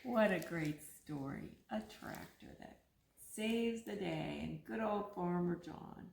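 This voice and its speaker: Slow, expressive woman reading to children. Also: lowish voice, creaky. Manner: expressive, prompting a child to fill in rhymes. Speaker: story reader and co-host. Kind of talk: animated read-aloud of a rhyming picture book for children.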